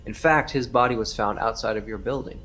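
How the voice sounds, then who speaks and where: A man acting as a calm, formal police detective who persistently questions a witness in a crime audio drama. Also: mid-range voice, fairly quick, faint music behind, close to an everyday voice. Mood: calm, probing.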